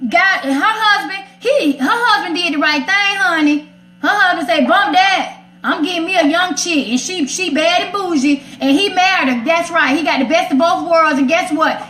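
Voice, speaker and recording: A woman's voice: very high voice, sharp tone, slight background noise. An American woman hosting a casual radio chat, outspoken, sassy and emphatic as she gives her opinions. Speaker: radio segment host. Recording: roomy echo.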